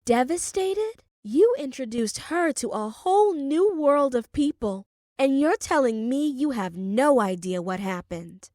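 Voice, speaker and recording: Woman acting furious, high-pitched accusing woman. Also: lively intonation, a little slow, darkish tone, no echo, clean studio sound. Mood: bitter, outraged. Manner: hissing, snapping, confrontational. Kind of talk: audiobook character voice.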